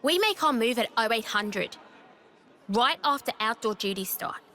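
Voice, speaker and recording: A brash, bright-voiced young rebel leader. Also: female voice, on the high side, expressive, normal speed, some chatter behind. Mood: commanding. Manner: blunt, cocky, taunting. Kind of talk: video game voice acting. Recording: game music behind the voice.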